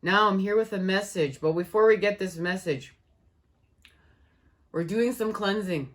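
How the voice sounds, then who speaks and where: Woman, tarot reader, tired fading voice, slow soothing repetition, mid-pitched. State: losing her voice. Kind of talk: spiritual tarot reading.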